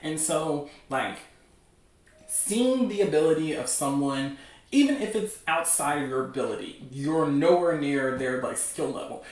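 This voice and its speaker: A man hosting a casual livestream, talking in a gushing, excitable, rambling way peppered with "like". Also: mid-range voice. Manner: gushing, excitable fan enthusiasm; rambling, heavy use of "like". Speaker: livestream host. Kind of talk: casual livestream chat about webcomics. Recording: echoey room, distant from mic.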